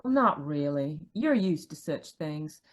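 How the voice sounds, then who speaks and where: A woman acting in a radio mystery as a gentle, reassuring dead wife, soothing and polite. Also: sits low, expressive, measured pace.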